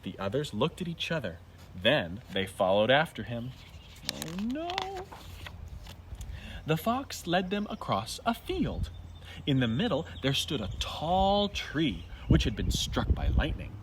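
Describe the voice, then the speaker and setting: Very animated man reading a children's story. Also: medium pitch, unhurried, noisy background. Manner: dramatic, emphatic storytelling. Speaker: storybook reader. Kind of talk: expressive children's story narration.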